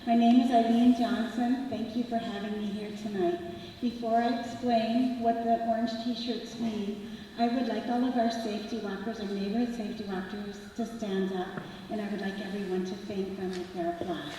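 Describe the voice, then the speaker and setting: Soft-voiced woman in an echoey hall. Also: on the high side, steady tone, moderate pace, slight background noise. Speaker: neighborhood safety walk organizer. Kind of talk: public remarks at a community meeting. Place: large meeting hall. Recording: echoey hall, far from the mic.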